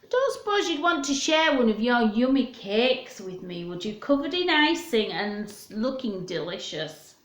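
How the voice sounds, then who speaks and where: A woman's voice: a little high, sing-song, normal speed, hardly any pauses, roomy sound. A woman voicing a chirpy storybook owl, sing-song and coaxing, hoping to be given cake.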